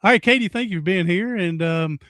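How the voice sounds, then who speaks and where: A man's voice: sits high, fairly quick, a bit bright, no room sound, clean studio sound. A man in his sixties hosting a podcast interview, folksy and rambling, often repeating himself. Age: 60s. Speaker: podcast host. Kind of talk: casual interview chat.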